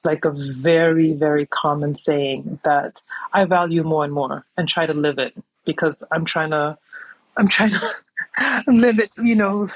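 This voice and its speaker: A woman's voice: sits low, dry recording, poor audio. A woman from New York, a podcast guest talking in a reflective, conversational way and often saying "you know". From New York City, United States. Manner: reflective, thoughtful, many "you know" fillers. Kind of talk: casual conversational interview.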